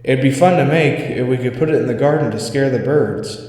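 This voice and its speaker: Man voicing an excitable cowherd in echoing reverb. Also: medium pitch, brisk, natural voice. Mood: eager. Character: Thomas, excitable farmhand cowherd, mocking and uneasy. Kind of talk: storybook character dialogue. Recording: echoey reverberant hall sound.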